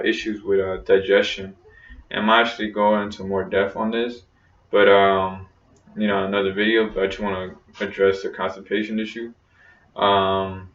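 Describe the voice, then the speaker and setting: Rambling young man, low flat voice. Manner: rambling, many fillers and restarts. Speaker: vlogger. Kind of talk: casual personal vlog talk. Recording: roomy, distant, dull lo-fi sound.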